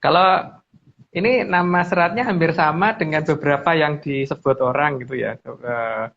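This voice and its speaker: A man's voice: fairly high pitch, moderate pace, vocal fry. A man with a Javanese accent explaining things casually and hesitantly, often qualifying what he says. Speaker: regular guest speaker explaining lore. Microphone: online video call. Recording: dull, muffled call audio.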